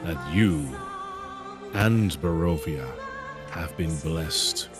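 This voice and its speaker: A man voicing a benevolent male holy spirit who gives a slow, measured blessing. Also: a bass voice, music in the background, exaggerated voice. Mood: solemn.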